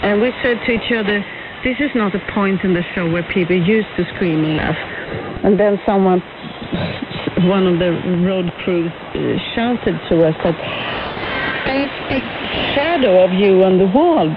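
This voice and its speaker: Mature female interviewee, mid-pitched, conversational storytelling, thin narrow-band shortwave sound. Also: a little slow. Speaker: interview guest, museum curator. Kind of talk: conversational reminiscing. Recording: noisy, narrow-band shortwave radio broadcast.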